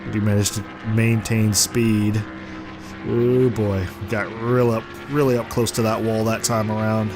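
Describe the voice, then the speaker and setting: Hoarse male gaming commentator with a congested voice, casual play-by-play, loud game music and engines behind him. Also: on the low side, measured pace. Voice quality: rough, congested voice. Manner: excited play-by-play, rising sharply at crashes. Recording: game music and car noise underneath. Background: racing game engine noise and music.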